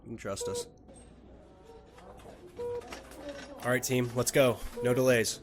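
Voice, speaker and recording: A man playing a calm, reassuring surgical team medic in an audio roleplay. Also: medium pitch, fairly quick, natural voice. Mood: brisk, reassuring. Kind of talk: ASMR comfort roleplay.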